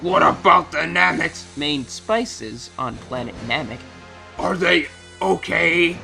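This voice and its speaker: A man voicing a shocked, indignant alien warrior hero with melodramatic, shouted demands, in a fan fiction reading. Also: on the high side, expressive, takes it slowly, gravelly, faint music behind, exaggerated voice. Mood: angry, demanding.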